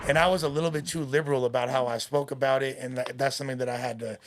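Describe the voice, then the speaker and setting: A man's voice: mid-range voice, fairly even intonation, racing, background noise. A man from West Los Angeles talking casually as a podcast guest, easygoing and full of street slang. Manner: streetwise slang, laid-back, says "you feel me" a lot. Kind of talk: casual chat.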